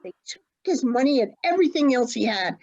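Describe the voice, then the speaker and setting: A genteel elderly lady with a fairly high voice, acted by a woman in an online stage play. She is forgetful and tells things in a halting, dramatic way. The sound is dull and lo-fi. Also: animated, gravelly, a little mellow, exaggerated voice. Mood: earnest reminiscence. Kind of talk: stage acting in an online one-act play. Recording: dull lo-fi online call audio.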